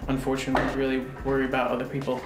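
A man in his thirties being questioned on camera, giving hesitant, evasive, halting answers. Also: mid-range voice, monotone. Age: 30s. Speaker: interviewee under confrontation. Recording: echoey room from broadcast clip with faint music.

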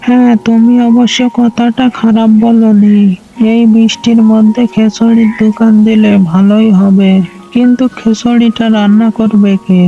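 A woman playing a gentle, practical village housewife who speaks soothingly in a cartoon folk tale. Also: fairly high pitch, flat delivery, normal speed, natural voice. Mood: modest, agreeable. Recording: dull lo-fi sound, music behind.

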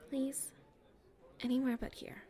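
A woman playing a nervous popular schoolgirl with a secret crush in an ASMR roleplay, softly breathy and halting. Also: high-pitched, little pitch movement. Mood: shy, earnest. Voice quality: soft and breathy, often near a whisper. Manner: hesitant, halting, shyly pleading.

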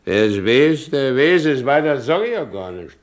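An actor playing an aging, broken, guilt-ridden carter in a 1960s radio play, speaking in a brooding, halting, plain way. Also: male voice, medium pitch, very animated, natural voice. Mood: brooding, distracted. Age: middle-aged.

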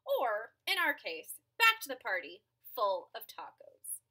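A young adult woman reading a picture book aloud to children in an expressive, dramatic and playful way. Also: on the high side, a little slow, husky. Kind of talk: animated children's read-aloud. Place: small furnished room at home.

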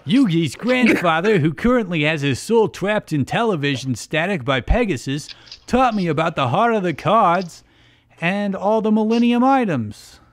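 A man's voice: high-pitched, expressive, moderate pace, no echo, voice pushed up. A man voicing an earnest, bewildered teenage boy hero from a card-game cartoon. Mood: indignant.

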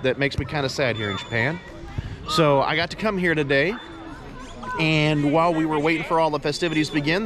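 A folksy, middle-aged Texan man talks into a camera held at arm's length while standing in an outdoor park. His voice sits a little higher than most men's, and he chats loosely, often restarting his words. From Texas. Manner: chatty, folksy, repeats words while thinking. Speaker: vlogger host. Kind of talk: casual selfie vlog.

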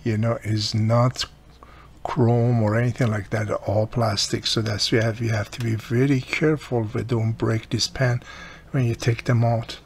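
A man's voice: mid-range voice, level tone, moderate pace, vocal fry, slight background noise. Patient man explaining a repair. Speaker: radio repair technician. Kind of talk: hands-on repair tutorial. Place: workbench, indoors.

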